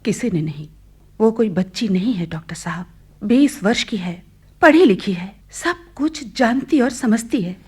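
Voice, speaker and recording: Anxious mother, husky and swooping. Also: medium pitch, animated, a little slow, a little mellow. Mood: anxious, subdued. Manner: coaxing, imploring. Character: anxious, pleading, devoted mother of sick daughter. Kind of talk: Hindi radio play acting.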